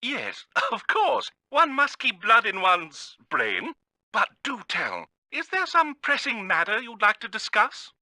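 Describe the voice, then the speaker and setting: An actor playing a pompous, theatrical villainous bird genius in a video game, speaking with a British accent. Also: male voice, high voice, wildly swooping pitch, takes it slowly, pushed and bright, no echo, studio quality, exaggerated voice. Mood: haughty, cheery.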